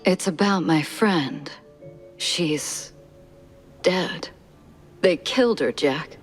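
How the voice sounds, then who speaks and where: An actress playing a sultry, theatrical former film star and femme fatale in a noir video game. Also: female voice, fairly low pitch, expressive, very slow, soft background music. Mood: mournful, dramatic.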